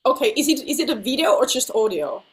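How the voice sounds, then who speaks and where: A woman's voice: sits high, very animated, fast talker. Woman, Finnish native speaker, nasal from illness, video call interview, chatty, frequent laughs, hesitant fillers. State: slightly ill, nasal voice. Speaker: interviewed singer.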